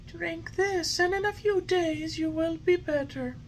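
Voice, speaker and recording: A woman voicing a gentle, patient, motherly fairy in a soft, high voice. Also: unhurried, a little hiss or hum. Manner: soft, lilting, soothing.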